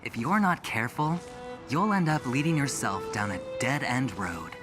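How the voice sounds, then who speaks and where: An actor playing a smug, smooth-talking young executive with a gambler's temper in a video game, teasing and theatrical. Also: male voice, mid-range voice, very animated, moderate pace, music in the background, natural voice. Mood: needling. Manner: teasing, theatrical, self-satisfied.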